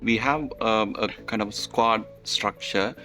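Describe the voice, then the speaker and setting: A man with a low voice answers interview questions, explaining step by step in a measured way and often pausing on fillers. Light music sits faintly behind him. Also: sharp tone.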